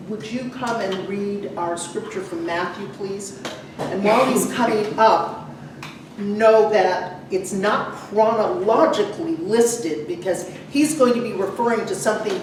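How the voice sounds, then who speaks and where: A woman preacher addressing a church congregation, speaking deliberately in short phrases. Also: medium pitch. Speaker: preacher leading a church service. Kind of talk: sermon with scripture introductions. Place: indoor church service. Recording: echoey church, distant mic, faint noise.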